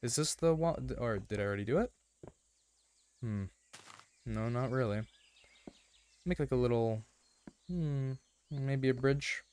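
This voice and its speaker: A man with a slightly raspy, rough throat giving casual, rambling gameplay commentary in an earnest way, often checking in as he goes. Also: mid-range voice, a little slow, pauses often, darkish tone. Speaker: gaming video host.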